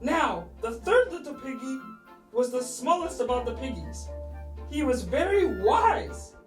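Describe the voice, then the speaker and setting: A woman's voice: sits high, very animated, unhurried, echoey room, far from the mic, music in the background. A woman telling a children's story in a dramatic, playful way, drawing out key words.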